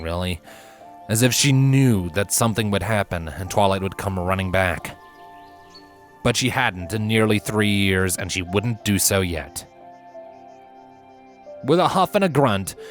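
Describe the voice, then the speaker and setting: Guy reading a fantasy story, kinda deep, expressive n dramatic, bit of music behind him. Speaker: story narrator. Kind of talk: scripted fantasy storybook narration.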